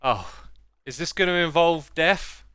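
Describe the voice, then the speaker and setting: A man hosts a gaming video in a casual, conversational style. His voice sits a little high for a man and rings bright and crisp. The close microphone sound is very dry. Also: measured pace, no echo. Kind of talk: casual gameplay commentary and chat.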